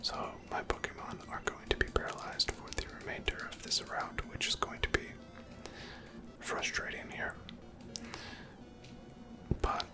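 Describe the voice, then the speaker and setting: This is a breathy, fully whispered voice with almost no tone in it. The speaker narrates their own video game playthrough in ASMR style, gently musing aloud in an unhurried, conversational way. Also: over loud music. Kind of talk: whispered ASMR gameplay commentary.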